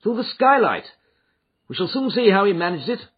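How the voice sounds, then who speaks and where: A shrewd detective , voiced by a male reader, gives brisk, commanding orders in a raised, lively voice. His tone is husky, and the recording is dull and lo-fi. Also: high-pitched, voice pushed up, exaggerated voice. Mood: urgent.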